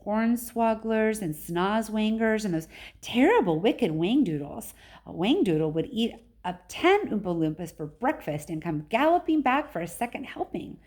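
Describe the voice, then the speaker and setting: A woman reading as a proud, excitable, eccentric man who owns a chocolate factory, in an emphatic storybook style. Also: mid-range voice, normal speed. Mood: dramatic, emphatic.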